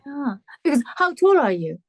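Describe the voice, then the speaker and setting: A woman hosting an interview, chatty and warm-paced, filling pauses with frequent yeahs and simple phrasing. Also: high voice, very animated. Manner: chatty, frequent yeah fillers, non-native phrasing. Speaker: interviewer host. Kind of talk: casual interview chat.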